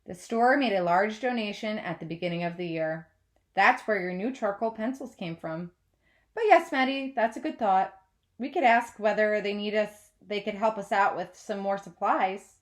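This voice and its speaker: A woman's voice: mid-range voice, normal speed, close to an everyday voice. A woman voicing an earnest, encouraging male art teacher explaining things to a class, in a storybook read-aloud. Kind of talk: children's novel read-aloud.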